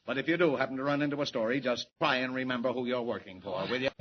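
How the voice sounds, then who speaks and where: Male actor as American newspaper boss, quick delivery, medium pitch, brusque, impatient, sarcastic, old-time radio drama, muffled. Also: close to an everyday voice. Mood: sarcastic, irritated. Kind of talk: 1940s radio acting. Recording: muffled, noisy 1940s radio recording.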